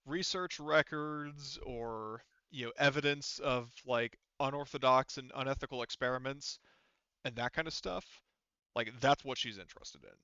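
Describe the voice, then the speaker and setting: A man working as game master, narrating a tabletop roleplaying game in an informal, halting way as he thinks aloud. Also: mid-range voice, sharp tone, smooth, no echo, highs cut off.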